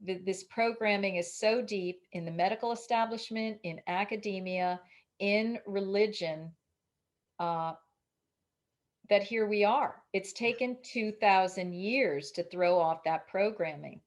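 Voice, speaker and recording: A woman's voice: medium pitch, a little slow. Emphatic woman in a spiritual interview. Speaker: interviewed author. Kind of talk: casual spiritual interview conversation.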